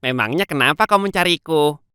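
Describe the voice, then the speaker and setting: A woman's voice: low voice, animated, fairly quick, sharp tone, clean tone, dry recording, studio quality, voice pushed down. A woman voicing a boastful young male hare in a children's cartoon story, with a smug, bragging manner. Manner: bragging, self-satisfied.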